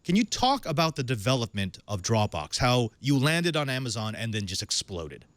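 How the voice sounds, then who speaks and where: A man hosting a tech podcast, reading a sponsor spot and then welcoming and questioning a guest in a lively way. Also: mid-range voice, normal speed, no room sound. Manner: animated, leading questions with fillers. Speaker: podcast host. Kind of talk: tech show hosting and ad read.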